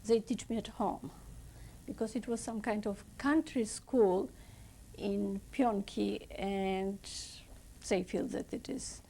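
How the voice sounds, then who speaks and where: A woman in her seventies from Poland with a Polish accent, giving halting, slow oral history testimony in non-native English. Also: medium pitch, steady tone, dark tone. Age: 70s. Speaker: interviewee.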